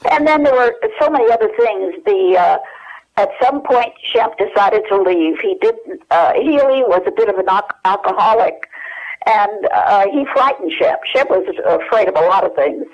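A woman's voice: medium pitch, lively intonation, moderate pace. An older woman reminiscing as an interview guest over the telephone, in a rambling, hesitant conversational way. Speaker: interview guest sharing family memories. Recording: dull telephone line.

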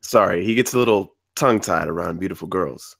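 Gravelly, easygoing teenage boy, played by a man. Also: low-pitched, fairly quick, a bit bright, no echo, clean studio sound, exaggerated voice. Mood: friendly. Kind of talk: screenplay table read.

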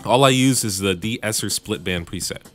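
A man with a bassy voice casually narrating a home recording tutorial, with joking asides, on a studio mic in his home room. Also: moderate pace, a bit bright, soft background music. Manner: laid-back, joking asides. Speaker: music producer and YouTube tutorial host. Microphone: studio microphone through audio interface.

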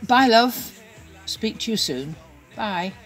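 A woman's voice: on the high side, lively intonation, slow, faint music behind, poor audio. An older woman recording a fond, chatty birthday video message on a phone at home. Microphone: phone camera.

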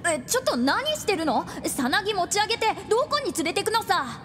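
A woman's voice: very high voice, very animated, brisk, big-room sound, very noisy. A woman playing a cheeky, talkative young male sidekick in a fantasy audio drama, breezy and teasing. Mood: flippant, startled.

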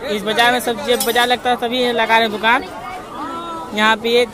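A man's voice: extremely high pitch, level tone, fairly quick. A young man walking through a village market with his phone, chatting to viewers and haggling with sellers. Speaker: village vlogger. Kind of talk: walking market vlog narration. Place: busy outdoor village market. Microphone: handheld phone camera. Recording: crowd noise of outdoor market.

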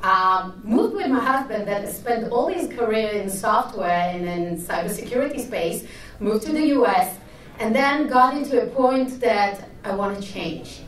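A woman with an Israeli accent speaks candidly on a conference panel, pausing often with fillers. She holds a handheld mic but is picked up from a distance. The room is echoey. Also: a little high, expressive. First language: Hebrew. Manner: candid, chatty, many hesitations. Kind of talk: panel talk. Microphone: handheld mic, heard from afar.

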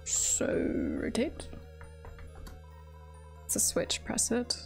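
A woman's voice: extremely slow, faint music behind. A woman streamer giving casual live game commentary, thinking aloud and chattily repeating phrases to herself. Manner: chatty, self-talking, often repeats phrases.

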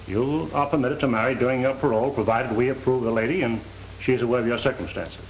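A man's voice: mid-range voice, steady tone, fast talker, lightly acted. A middle-aged man playing a stern, by-the-book parole officer in 1930s film style, with a firm, clipped delivery. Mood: brisk, official. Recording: noisy 1930s film soundtrack.

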